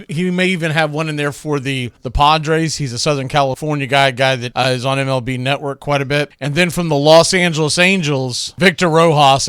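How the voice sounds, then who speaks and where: A man with a smooth, fairly high voice hosts a sports radio show. He talks casually and folksily, as if chatting with listeners. The sound is dry and close, with little room around it. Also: fairly bright tone, no room sound. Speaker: radio show host and play-by-play announcer.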